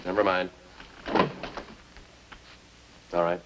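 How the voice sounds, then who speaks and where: An actor with an American accent playing a terse, no-nonsense police detective in a 1950s radio crime drama, clipped and deadpan. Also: male voice, low voice, very fast, very noisy, natural voice. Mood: curt, official. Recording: band-limited old radio recording, loud music.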